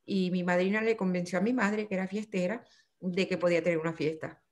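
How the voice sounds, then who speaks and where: A woman's voice: on the low side, steady tone, brisk. Older Puerto Rican woman, interview guest, reflective, restarts her phrases. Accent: Puerto Rican Spanish. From Puerto Rico. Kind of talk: remote interview conversation.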